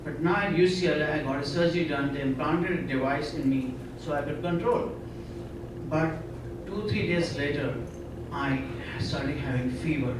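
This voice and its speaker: A man's voice: a little high, normal speed, background noise. A middle-aged man from India tells his personal story at a conference as an earnest storyteller who often says "you know.". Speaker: conference speaker. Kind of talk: personal testimony talk. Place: conference hall. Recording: echoey hall, speaker far from mic.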